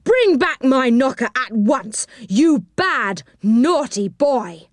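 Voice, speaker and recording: A woman's voice: sits high, big pitch swings, slow, no room sound, studio quality, voice pushed up, full character voice. A woman voicing an angry little man in a children's storybook, scolding and indignant. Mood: angry shouting.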